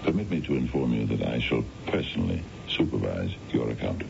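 A man playing an imposing, courteous financier in his fifties in a radio drama, measured and formal. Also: animated, creaky, heavily acted. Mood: courteous, smooth. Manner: measured, formal, hesitant openers. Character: imposing, courteous fifty-five-year-old male financier. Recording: dull, noisy, rumbling radio recording.

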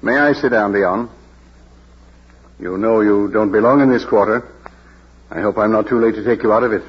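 An actor playing a patronizing, formal lawyer in an old radio drama, with a husky voice. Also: male voice, on the low side, normal speed. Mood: suave. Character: smooth, condescending male lawyer. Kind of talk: 1940s radio drama acting. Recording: muffled old radio broadcast.

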